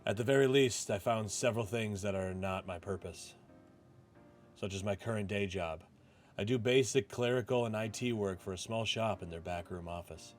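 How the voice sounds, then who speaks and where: A man reads as a disillusioned office clerk in a visual novel. His low voice is subdued and reflective, with music playing underneath. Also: normal speed, lightly acted. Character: disillusioned, introspective male office clerk narrating his life. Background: game music.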